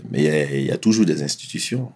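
A man who grew up in France, telling his life story in a relaxed, slangy, candid way as an interview guest. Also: extremely low pitch, very fast.

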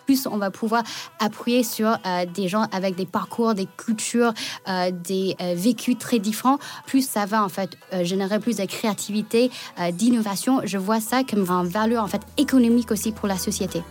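A woman in her late twenties, raised in the United States, speaking haltingly with many hesitations as a podcast guest. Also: mid-range voice, faint music behind. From China, raised in the United States. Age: late 20s. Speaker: guest, social start-up founder. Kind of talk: podcast interview.

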